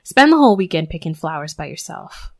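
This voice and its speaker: A woman's voice: low voice, sing-song, fairly quick, a little hiss or hum. A young woman playing a brash, sweary tsundere werewolf bully who scolds with grudging protectiveness, in a scripted audio roleplay. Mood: irritated, mocking. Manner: scolding, sarcastic, sweary, exasperated. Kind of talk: scripted first-person roleplay audio acting.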